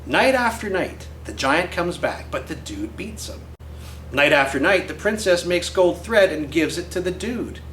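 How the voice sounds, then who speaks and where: A man's voice: on the high side, very animated, measured pace, a bit bright, a little hiss or hum. A man reading a picture book aloud in character as a boy, with brash, slangy, emphatic delivery. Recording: roomy echo with faint music.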